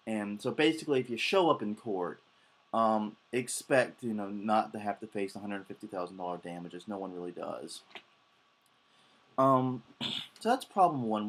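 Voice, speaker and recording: A man with a head cold and a slightly scratchy voice, explaining copyright damages in a casual monologue full of ums and uhs. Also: fairly low pitch. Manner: frequent ums and uhs, thinking aloud. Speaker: blogger on copyright and plagiarism.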